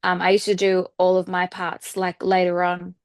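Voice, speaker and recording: A woman's voice: fairly low pitch, completely flat delivery, moderate pace, clean tone, dry recording. Chatty Australian woman in a dry-sounding interview. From Sydney, Australia. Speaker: guest singer-songwriter. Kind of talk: casual interview chat.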